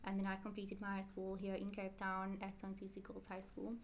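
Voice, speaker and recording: A woman's voice: mid-range voice, monotone, fairly quick, slight background noise. A woman, answering interview questions in a chatty, rambling way and correcting herself often. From South Africa. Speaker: interview guest, Quran teacher.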